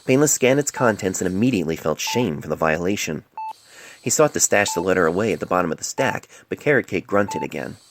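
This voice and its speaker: A man reading a dramatic story in a somber, deliberate manner, recorded on a cell phone mic. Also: deep voice, animated, noisy background. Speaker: author reading own story. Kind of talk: dramatic scripted narration. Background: music and sound effects.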